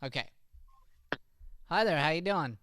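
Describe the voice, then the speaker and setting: A man's voice: fairly high pitch, lively intonation, unhurried, fairly bright tone, smooth, dry recording, clean studio sound. A man of thirty, an upbeat and joking acting teacher, chatting casually with students in an online class over a video call. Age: 30s.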